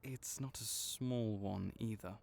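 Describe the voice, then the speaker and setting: A man playing a gentle, caring vet for magical creatures in an audio roleplay, speaking in a measured, reassuring, explanatory way. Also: fairly low pitch, gravelly, slight background noise. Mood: concerned, explaining. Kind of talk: soft-spoken one-sided comfort roleplay.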